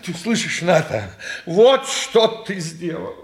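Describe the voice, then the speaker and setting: High-voiced, fussy old gentleman. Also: sing-song, slow, exaggerated voice. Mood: wounded, lamenting. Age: older. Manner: stammering, repetitive, pompous. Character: fussy, flustered, sentimental old gentleman of the house. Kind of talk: 1950s Russian stage acting.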